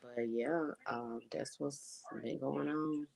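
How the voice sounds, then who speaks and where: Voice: mid-range voice, fairly even intonation, takes it slowly, gravelly, low-quality recording. A young adult with a stuffy, congested nose, giving a rambling, laid-back vlog update full of fillers. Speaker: vlogger.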